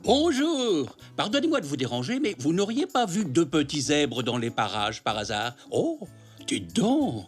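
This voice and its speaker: A man's voice: a little high, huge pitch swings, a little slow, soft background music. A man voicing a kindly, theatrical elderly grandfather zebra in a French children's cartoon. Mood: genial, curious.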